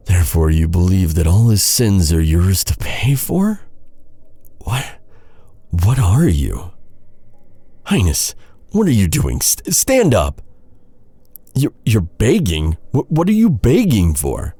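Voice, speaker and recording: Man acting as a deep, husky, earnest fantasy knight. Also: mellow, dry recording, heavily acted. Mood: alarmed. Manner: grave, halting, formal archaic phrasing. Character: earnest, anguished male knight confronting a captured princess. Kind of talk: dark fantasy ASMR roleplay acting.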